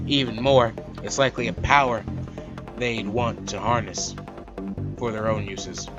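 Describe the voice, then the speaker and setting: A man's voice: on the low side, steady tone, a little slow, dull audio. A man hosting a let's play, reading the game's narration aloud and dropping in casual, joking asides. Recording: game music behind the voice. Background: game music.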